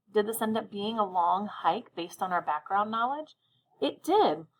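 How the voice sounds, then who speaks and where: Female elementary teacher, medium pitch, encouraging and instructional, guiding young kids through a read-aloud. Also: rough recording. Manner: encouraging, addresses children directly with check-in questions.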